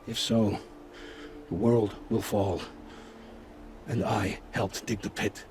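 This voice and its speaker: A man playing a guilt-ridden scavenger scout in a video game, speaking gravely and with haunted, poetic phrasing. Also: fairly low pitch, fairly even intonation, slow pace, noisy background. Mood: remorseful. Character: guilt-ridden male scavenger scout, forced informant, begging for family. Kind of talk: dramatic video game acting. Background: background music.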